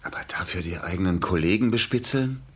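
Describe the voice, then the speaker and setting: Deep, husky, nervous male accomplice. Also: a bit bright. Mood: indignant. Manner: halting, uneasy, easily indignant. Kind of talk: radio crime comedy acting.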